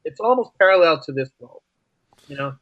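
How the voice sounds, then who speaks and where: A man's voice: fairly high pitch, lively intonation, brisk, no echo, narrow, tinny audio. A talkative man speaking casually and enthusiastically as a guest in an interview. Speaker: podcast guest, writer and podcaster.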